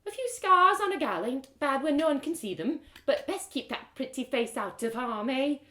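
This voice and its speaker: A woman's voice: sits high, animated, moderate pace, bright, forceful tone, echoey room, voice pushed up. Woman acting a motherly, teasing country innkeeper woman. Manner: folksy, rustic phrasing. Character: motherly, teasing female innkeeper, fussing warmly.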